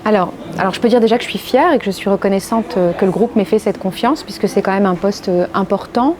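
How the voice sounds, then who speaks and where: Frenchwoman in a measured interview, noisy background. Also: medium pitch. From France. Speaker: interviewee, corporate legal and compliance executive. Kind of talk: interview answers.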